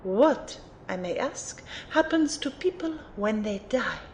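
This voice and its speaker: A woman's voice: expressive, takes it slowly, a little mellow. A woman playing a refined, playful magic mentor in an audio drama, formal and precise as she teases and lectures. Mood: lecturing. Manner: formal, precise, teacherly phrasing. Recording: roomy amateur recording, faint rumbling noise.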